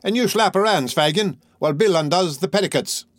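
A cocky young pickpocket, put on by a man in a storybook. His voice sits high, brisk and commanding, in a dry recording. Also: expressive, no room sound. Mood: brisk, bossy.

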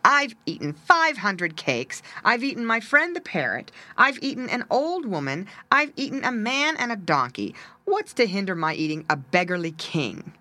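A woman voicing a greedy, menacing fat cat in a storybook, taunting and boastful with drawn-out brags. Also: medium pitch, very animated, sharp tone, no room sound, voice pushed up.

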